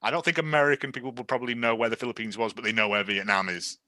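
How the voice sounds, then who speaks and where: A British man with a bright, forceful voice and a mid-range pitch chats as a podcast co-host. He is sardonic and joking, commenting on a film in a casual way. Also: fairly even intonation, racing.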